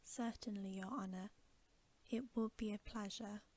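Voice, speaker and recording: A woman's voice: mid-range voice, steady tone, measured pace, a little mellow, natural voice. A woman voicing a huge, good-natured, deferential male giant in a storybook, whispery, with a homely, rustic, polite manner. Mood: obliging. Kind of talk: storybook reading in ASMR.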